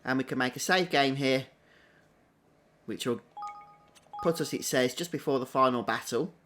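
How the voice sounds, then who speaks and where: A man's voice: mid-range voice, normal speed, a bit bright. A man giving casual live stream commentary as a game streamer. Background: game music behind the voice.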